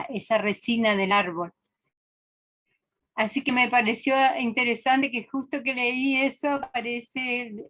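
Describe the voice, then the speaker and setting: A woman's voice: fairly high pitch, fairly bright tone. A woman, speaking thoughtfully in a seminar discussion and often restarting her sentences. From Argentina. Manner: reflective, hesitant, with frequent restarts. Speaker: seminar participant.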